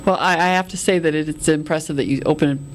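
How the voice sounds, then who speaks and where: A woman's voice: low-pitched, fast, a little hiss or hum. A woman hosting an interview, asking questions in a conversational way. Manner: conversational, asks follow-up questions. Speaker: talk show host. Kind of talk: interviewing a guest.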